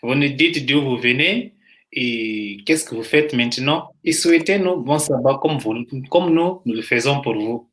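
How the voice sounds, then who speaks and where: A co-host on a live stream speaks at a middle pitch. The talk is eager and preachy, full of exclamations and encouragement. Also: a little slow, a bit bright. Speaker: co-host of a livestream. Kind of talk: casual live-stream chat.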